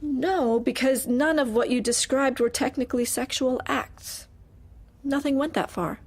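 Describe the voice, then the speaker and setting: An actress playing a guarded, meekly defensive employee in a dark comedy audio drama, choosing her words carefully. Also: high voice, a little slow. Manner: careful, hedging, literal-minded.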